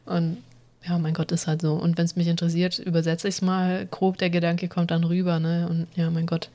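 A woman's voice: on the low side, flat delivery, normal speed, dark tone. A woman co-hosting a casual podcast, chatting loosely with a self-deprecating, laughing manner. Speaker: podcast co-host.

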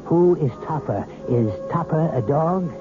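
An actor playing a calm male hypnotist who talks in a soft, measured, persuasive way, in a 1970s radio drama. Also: fairly high pitch. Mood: coaxing. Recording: tinny old radio broadcast with music.

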